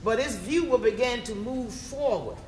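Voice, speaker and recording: A man's voice: extremely high pitch, expressive, normal speed, crowd noise. A man narrating scripted history in a readers theatre performance, heard from a distance in an echoey hall with an audience. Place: live stage performance in a hall.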